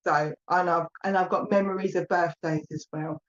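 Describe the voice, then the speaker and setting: A woman with an Essex accent giving a live psychic reading, chatty and rambling, talking warmly to viewers by name. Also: fairly low pitch, steady tone, normal speed, muffled recording. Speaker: psychic medium. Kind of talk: live online mediumship reading.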